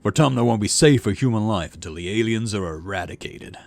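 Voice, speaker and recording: A man's voice: on the low side, animated, fairly quick, gravelly, darkish tone. A man voicing a bombastic young colony governor giving rousing, declamatory speeches in a video game. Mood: rousing, fervent. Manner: declamatory, rousing political oratory.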